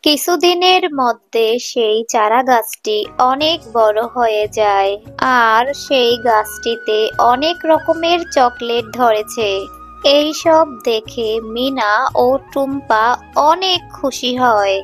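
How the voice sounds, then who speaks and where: Female narrator for children's cartoon tale, highish voice, slow measured read, light music underneath. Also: lively intonation. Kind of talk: scripted children's story narration.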